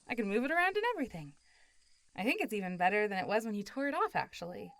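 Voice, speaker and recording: A woman voices a zombie girl who has been dead for centuries yet talks like a casual, friendly young woman. Her pitch sits in an ordinary middle range for a woman. She is playful and teasing, chatting intimately as in a roleplay audio drama. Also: very animated, fast talker, a bit bright, dry recording. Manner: casual, playful, teasing, often laughing and sighing.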